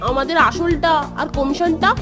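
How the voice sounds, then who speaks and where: A woman's voice: very high-pitched, fairly even intonation, normal speed. A woman voicing a cheeky, grumbling young boy who works as a little salesman in a children's cartoon. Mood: eager. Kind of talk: Bengali children's cartoon voice acting. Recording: music bed behind the voice.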